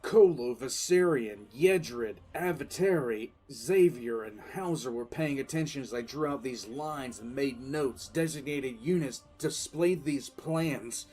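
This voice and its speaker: A man playing a weary, resolute military commissar who recounts his war in deliberate, emphatic dramatic narration. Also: mid-range voice, a little mellow, natural voice. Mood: measured recounting. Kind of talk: dramatic first-person audiodrama narration. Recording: music bed under the voice.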